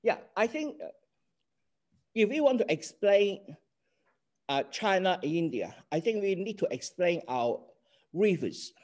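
Older man, Hong Kong accent, higher voice. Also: big pitch swings, unhurried, long pauses, darkish tone. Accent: Hong Kong Cantonese. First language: Cantonese. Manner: earnest, explanatory, checks in with "okay?". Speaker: lecturer. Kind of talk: online class lecture. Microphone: video call.

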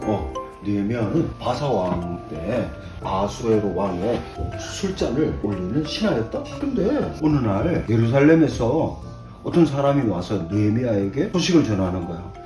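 Animated older grandfather storyteller, roomy echo. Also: lowish voice, moderate pace. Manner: animated, conversational, explaining simply for children. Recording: roomy echo, distant mic, faint music.

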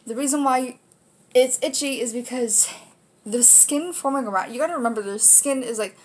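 A woman's voice: sits high, moderate pace, breathy edge. A nineteen-year-old woman with an American accent, chatting casually to camera in an informal, rambling way as she hosts a video. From United States, Kansas. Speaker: video host. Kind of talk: casual vlog chat to camera. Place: small room indoors.

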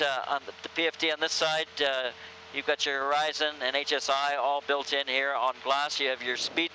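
Bright-voiced older airline captain. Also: male voice, medium pitch, slight background noise, rough recording. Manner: relaxed, explanatory, many fillers. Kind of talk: cockpit commentary. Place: airliner cockpit. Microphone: aviation headset.

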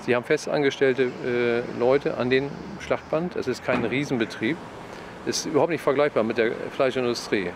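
A man in his fifties answers an interview haltingly, searching for words. His pitch is average, and he is recorded outdoors with clear traffic noise around him. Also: fairly even intonation. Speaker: butcher business owner. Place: outdoors outside a building. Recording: outdoor street noise, passing cars.

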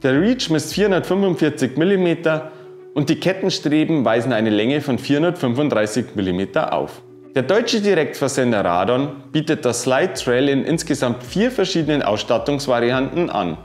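A man in his thirties giving a scripted bike review, reading specifications in an even, informative way. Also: mid-range voice, moderate pace. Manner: even, informative delivery dense with specs. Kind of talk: scripted product review narration. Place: wooden-walled workshop room, indoors. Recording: roomy sound with faint music.

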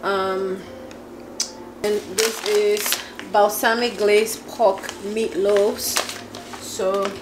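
A woman in her twenties chatting casually in her kitchen for a home vlog, chatty and excitable with frequent laughs. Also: medium pitch, drawn-out words, noisy background. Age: 20s. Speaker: vlogger.

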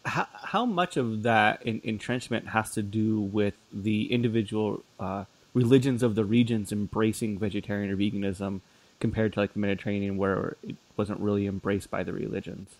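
A man's voice: fairly low pitch, moderate pace, no echo, rough recording. A man, hosting a podcast and interviewing in a conversational style. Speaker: podcast host.

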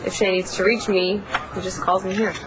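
An actress playing an evasive diner waitress. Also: female voice, medium pitch, little pitch movement, brisk, noisy background, close to an everyday voice.

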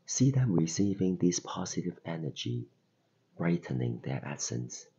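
Man, extremely low pitch, husky mellow tone, gentle meditation guide, deliberate pauses, slightly muffled recording. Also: big pitch swings. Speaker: meditation guide and podcast host.

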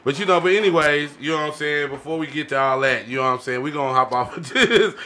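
A man's voice: a little high, fast talker, sharp tone. A man hosting a casual podcast with slangy, hype-man banter full of repeated catchphrases, close on a studio mic. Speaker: podcast host. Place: small indoor podcast studio. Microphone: close studio microphone on boom arm.

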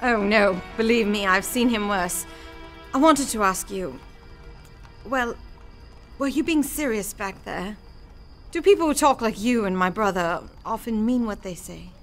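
A woman's voice: mid-range voice, unhurried, soft background music, natural voice. A woman playing a polite, curious young lady in a radio play, earnest and a little tentative.

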